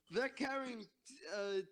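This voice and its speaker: A man's voice: very high-pitched, slow pace. A man playing a young tank soldier in an amateur audio drama. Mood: frantic.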